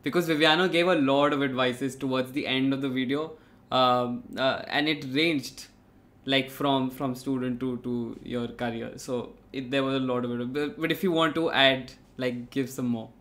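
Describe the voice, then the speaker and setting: A man in his thirties with an Indian accent, Sindhi his first language, hosting a live chat through a headset. His mid-range voice stays relaxed and halting, with plenty of fillers between thoughts. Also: mid-range voice. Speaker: host. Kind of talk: casual live-stream chat. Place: studio room with red wall. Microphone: headset on video call.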